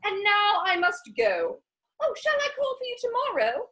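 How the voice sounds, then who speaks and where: A woman plays a breezy society lady in a period comedy. Her voice is extremely high and lilting, swinging widely as she gushes theatrically. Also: big pitch swings, moderate pace, a bit bright, full character voice. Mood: breezy, gushing. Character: breezy, gushing female high-society socialite. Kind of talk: dramatic reading of a period stage comedy.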